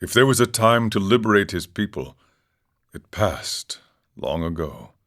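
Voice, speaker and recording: A grave warrior monk from a fantasy video game, with a very low voice. His delivery is measured and philosophical, yet the melody moves widely, swelling and sinking. He carries himself as a proud, regretful seeker. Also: animated, fairly bright tone. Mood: somber, reflective. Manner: measured, philosophical, speaks of himself in third person. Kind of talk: scripted video game character dialogue.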